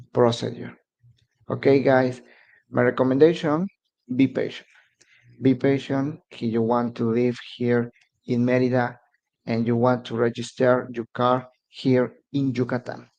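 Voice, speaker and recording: A man's voice: mid-range voice, gravelly, mellow, lo-fi sound. A man from Mexico whose first language is Spanish, speaking English with a Mexican Spanish accent as he hosts a casual live stream and halts to search for words. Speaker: immigration advice channel host.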